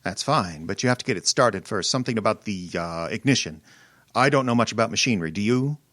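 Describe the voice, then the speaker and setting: A man's voice: lowish voice, lively intonation, fast, fairly bright tone, no room sound. An actor playing an irascible, domineering rich older man, imperious and sputtering, who turns cowardly under threat, in old-time radio horror style. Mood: gruff, self-satisfied. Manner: blustering, imperious, sputtering and stammering.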